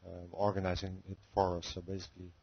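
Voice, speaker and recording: A male caller on a conference call, hesitantly working out a question with many pauses and rephrasings. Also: deep voice, completely flat delivery. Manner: hesitant, searching for words, many fillers. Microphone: phone line. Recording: muffled phone-call audio.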